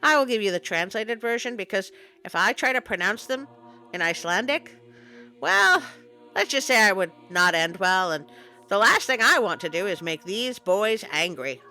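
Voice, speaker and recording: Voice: mid-range voice, animated, moderate pace, bright, forceful tone, low-quality recording. A storytelling host reading scripted folklore narration in a theatrical, playfully ominous manner. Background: music.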